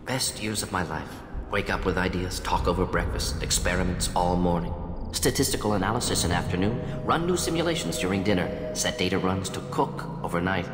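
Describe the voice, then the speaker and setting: A man's voice: deep voice, a little fast, close to an everyday voice. A male actor playing a proud, conflicted alien scientist in a video game, speaking in clipped, telegraphic phrases with small words dropped. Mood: nostalgic, animated. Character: fast-thinking alien scientist doctor, proud, conflicted, defensive. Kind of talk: dramatic video game dialogue. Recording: echoey hall reverb, music behind.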